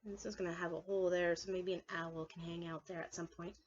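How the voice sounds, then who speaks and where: A woman's voice: on the low side, level tone, fast, highs cut off, slight background noise. A woman preschool teacher reading aloud and leading a drawing lesson for young children, playful and encouraging, often asking her listeners questions.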